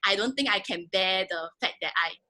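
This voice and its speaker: A woman's voice: mid-range voice, normal speed, pushed and bright. A woman in her twenties with a Singaporean English accent, chatting casually in a group and laughing often. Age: 20s. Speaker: panel guest.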